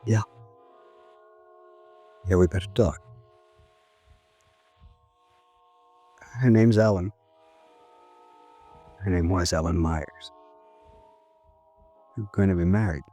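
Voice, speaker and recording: A grieving lover with a very low, husky voice. He confesses in a halting, subdued, brooding way, in a vintage radio drama with music in it. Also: steady tone, mellow, exaggerated voice. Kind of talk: 1950s radio drama acting.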